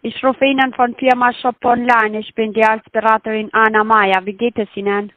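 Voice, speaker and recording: A woman's voice: fairly high pitch, little pitch movement, normal speed, no room sound. A woman making a scam sales call in broken grammar, with a hesitant manner. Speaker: scam caller. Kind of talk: phone sales pitch. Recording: thin phone line, dry.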